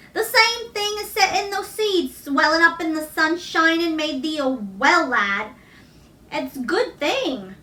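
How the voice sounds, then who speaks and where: A motherly, middle-aged Yorkshire countrywoman as a woman reader puts her on talks in emphatic, folksy dialect. The storybook voice is high and bright, heard a little far off in a roomy space. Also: heavily acted. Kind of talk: children's storybook read-aloud. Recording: roomy echo, distant microphone.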